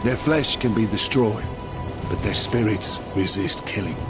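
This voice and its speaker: Casting: male actor as embittered dead conqueror, low-leaning and unhurried, grave, game cutscene with loud music. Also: lightly acted. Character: proud, bitter undead warrior and former conqueror. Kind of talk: video game cutscene acting.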